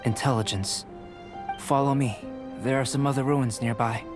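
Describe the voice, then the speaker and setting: A man playing a calm, polite young alchemist. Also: medium pitch, lightly acted. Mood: courteous. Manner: measured and polite. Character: calm young male alchemist, curious and composed. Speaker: alchemist researcher. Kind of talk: video game voice acting. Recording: game audio with music behind.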